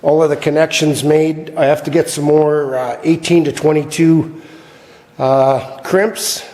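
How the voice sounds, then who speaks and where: A slightly hoarse man casually talking through his work in a shop garage, with many fillers and some throat clearing. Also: fairly high pitch, measured pace. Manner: relaxed, rambling, many "uh" fillers. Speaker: garage builder showing his project. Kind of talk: casual workshop vlog. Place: large shop garage, indoors. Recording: reverberant garage with long echo.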